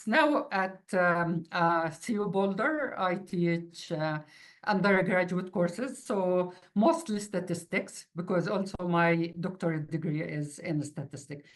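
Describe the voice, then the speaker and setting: Middle-aged female interviewee, Arabic accent, fairly low voice, very unhurried and halting delivery. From Palestinian refugee from the Middle East. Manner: halting, searching for words. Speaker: university mathematics instructor. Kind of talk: informal interview, personal account.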